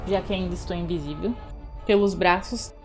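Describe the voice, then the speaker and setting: A woman's voice: on the low side, normal speed, soft background music. A woman with a Brazilian accent casually describing her character's actions as a tabletop game player.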